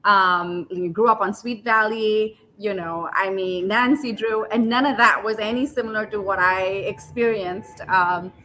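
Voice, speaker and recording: 30s woman from india, mid pitch, excited and thoughtful, lots of like and um. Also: a little hiss or hum, rough recording. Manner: enthusiastic, thoughtful, with fillers like "like" and "um". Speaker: author on a book panel. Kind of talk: casual panel talk.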